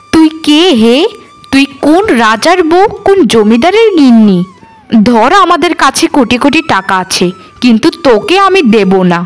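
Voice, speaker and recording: Cartoon role: scornful, haughty wealthy housewife performed by a woman, high voice, brushing people off with sneers. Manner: sneering, rhetorical, dismissive. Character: haughty, scornful rich village housewife refusing beggars. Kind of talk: Bengali cartoon dialogue.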